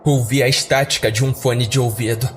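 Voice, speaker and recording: A man's voice: mid-range voice, steady tone, fairly quick, faint music behind. A man narrating a scripted horror story in a dramatic audio drama style.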